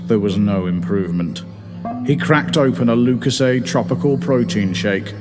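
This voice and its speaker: A man's voice: lowish voice, normal speed. A man reading his own comic story as narrator in a theatrical, mock-dramatic way. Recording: long reverb, music behind.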